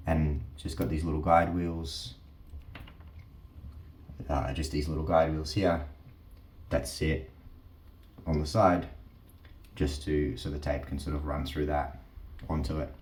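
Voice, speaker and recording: Aussie guy, super low voice, explaining stuff casually, kinda halting, echoey room. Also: little pitch movement, darkish tone. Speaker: host of a teardown channel. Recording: roomy, echoing sound.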